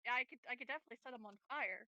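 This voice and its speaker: A woman's voice: fairly high pitch, racing, pushed and bright, no room sound, highs cut off. A woman chatting casually as a player in a tabletop game.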